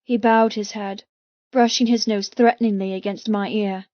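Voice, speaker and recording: A woman's voice: medium pitch, steady tone, normal speed, no echo, lo-fi sound. A woman reading scripted, dramatic first-person narration as an audiobook narrator.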